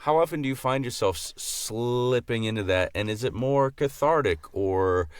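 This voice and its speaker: A man co-hosting a podcast, asking questions in a halting way with fillers. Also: mid-range voice, clear, resonant tone, no room sound. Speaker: podcast co-host. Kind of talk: podcast interview.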